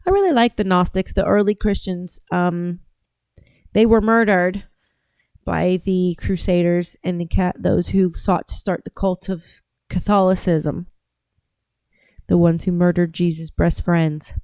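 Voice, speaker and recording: A woman's voice: on the low side, unhurried, warm tone, no echo. A woman from the US South hosting a casual livestream, talking in a chatty, rambling way that often trails off and restarts. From Georgia, US South. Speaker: livestream host.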